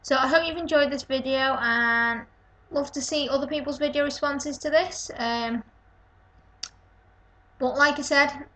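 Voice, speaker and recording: A woman's voice: sits high, fairly even intonation, a little slow, fairly bright tone. A woman in her twenties with a Lancashire-type northern English accent, tired and rambling in a casual webcam video response from her kitchen. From North West England. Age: 20s. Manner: rambling, self-deprecating. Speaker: vlogger. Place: kitchen at home, indoors. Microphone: webcam built-in mic.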